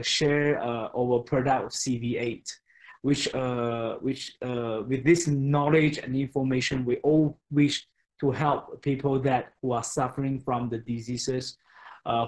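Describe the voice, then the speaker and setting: A man's voice: medium pitch, darkish tone, poor audio. A man in his thirties, a native Mandarin speaker, presenting a product on a video call from his home study, with many fillers and restarts. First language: Mandarin Chinese. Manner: hesitant, many fillers and restarts, frequent "okay?". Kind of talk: online product webinar. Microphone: webcam on a video call.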